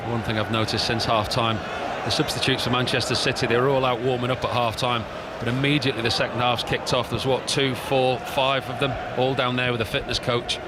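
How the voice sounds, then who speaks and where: A man's voice: mid-range voice, flat delivery, brisk. A man giving expert co-commentary on a football match, analysing the play and criticising poor finishing. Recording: noisy background.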